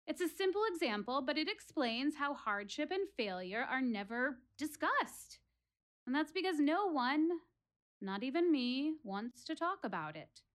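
A woman's voice: high-pitched, big pitch swings, measured pace. An actress playing an earnest, wry woman philosophizing to her theater audience in a dramatic one-woman monologue. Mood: intense, bitter. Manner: dramatic, rhetorical, addressing listeners directly.

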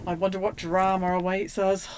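A woman's voice: medium pitch, flat delivery, normal speed, clean tone, close to an everyday voice. A woman playing a weary, competitive ambulance worker in a comedy sketch. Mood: weary, one-upping.

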